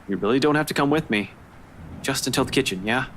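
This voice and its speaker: A stern young police detective growls orders in a deep, low voice, as a woman puts him on. He is blunt and commanding, and a steady hiss of noise sits under the recording. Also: quick pace. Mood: firm.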